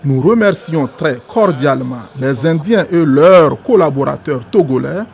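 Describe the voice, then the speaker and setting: A man reading a scripted documentary narration in a gravelly voice. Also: fairly high pitch, animated, unhurried, a little mellow. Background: music.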